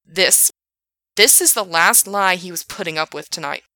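A woman voicing an angry young male park worker who rants loudly and swears. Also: high voice, lively intonation, normal speed, dry recording, full character voice. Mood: furious. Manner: loud, swearing, ranting.

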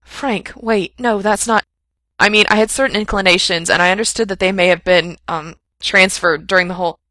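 Soft-spoken earnest male doctor as a woman voices him, measured delivery, gravelly low tone, dry close sound. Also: steady tone, no room sound. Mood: flustered. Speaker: reader voicing a character.

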